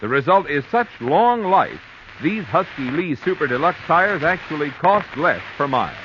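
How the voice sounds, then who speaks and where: A male commercial announcer delivers an emphatic, scripted vintage radio pitch. His voice is somewhat high and very animated. The aged recording is dull and clearly noisy. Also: moderate pace. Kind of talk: 1940s radio advertising read, scripted.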